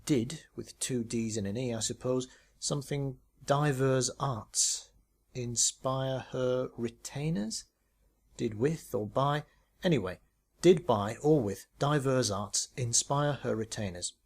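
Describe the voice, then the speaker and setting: A man's voice: mid-range voice, slow pace. A man voicing a bookish, reluctant paintball player who muses hesitantly and a little pedantically. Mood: musing.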